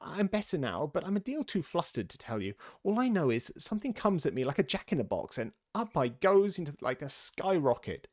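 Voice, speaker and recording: Small storybook lizard, played by a man: squeaky, weak, flustered, higher than a normal male voice. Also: very animated, fairly quick, hardly any pauses, darkish tone. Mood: flustered, feeble. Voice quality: squeaky, feeble.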